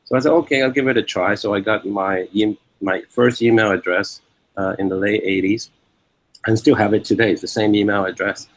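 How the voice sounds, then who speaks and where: A man in his fifties with a Hong Kong accent speaks in a fairly low voice. He answers interview questions in a measured, explanatory way, working out his thoughts aloud. The sound is close and dry. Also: steady tone, no echo, low-quality recording. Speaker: academic interviewee.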